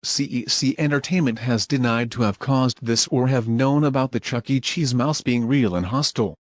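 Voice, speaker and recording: A man playing a grim emergency alert announcer, reading scripted warnings in a formal, measured way. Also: medium pitch, a little hiss or hum, close to an everyday voice. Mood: grave. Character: grim emergency alert announcer, dutiful, irritable when crossed. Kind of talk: scripted emergency broadcast narration.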